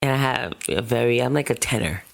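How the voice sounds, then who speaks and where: Deep-voiced woman, gravelly. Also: brisk. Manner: playful, laughs easily. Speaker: podcast co-host. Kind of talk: casual chat.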